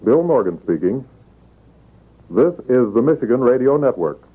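A man's voice: medium pitch, big pitch swings, moderate pace, husky. An American man narrating as announcer of a radio drama, in dramatic scripted 1940s style. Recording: narrow-band vintage radio recording.

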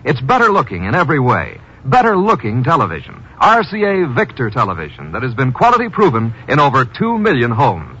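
A man's voice: mid-range voice, huge pitch swings, fairly quick, hardly any pauses, sharp tone, slightly rough. A man announcing a 1950s radio advertisement with an emphatic, polished sales delivery. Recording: muffled old radio broadcast.

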